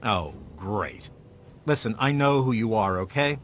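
A man's voice: lowish voice, expressive, measured pace, slight background noise. An actor playing a resentful, territorial male lab worker in a video game, with an irritable, scornful, curt manner. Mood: annoyed, dismissive. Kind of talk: scripted game dialogue.

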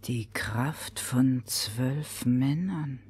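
A woman playing a calm, wise woman of magic in a fairy-tale audio drama, deliberate and solemn. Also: extremely low pitch, slightly rough, exaggerated voice. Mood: solemn, knowing.

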